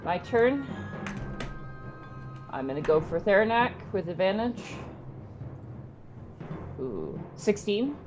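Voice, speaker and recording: A woman's voice: mid-range voice, very animated, slow pace, pauses often. A woman talking casually as a player in a tabletop role-playing game, calling out moves and rolls. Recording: music playing behind the voice.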